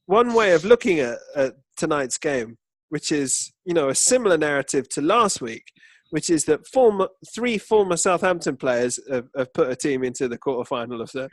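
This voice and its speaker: Male podcast co-host, blokey and sweary, higher-pitched, casual football chat, close dry sound. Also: animated, moderate pace, no room sound.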